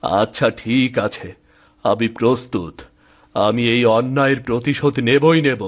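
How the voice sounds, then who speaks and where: পুরুষের অভিনয়ে আন্তরিক, নাটকীয় এক সৎ কৃষক. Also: male voice, medium pitch, measured pace, close to an everyday voice. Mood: vengeful resolve. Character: wronged honest peasant farmer, back from death, vengeful. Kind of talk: Bengali cartoon story acting.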